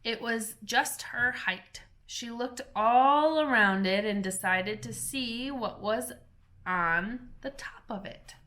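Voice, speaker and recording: A woman reads a children's storybook aloud, slowly and carefully, with an expressive read-aloud manner. Her voice is bright and fairly high. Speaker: storybook reader. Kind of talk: reading a children's book aloud.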